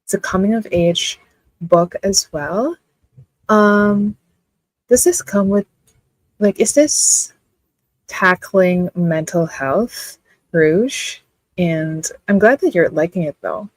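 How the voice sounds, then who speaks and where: Chatty Filipino woman, slow and low. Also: a little mellow, no echo, poor audio. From Philippines. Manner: chatty, many "like" fillers, laughs often. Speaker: livestream host. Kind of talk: casual live-stream chat reading out viewer comments.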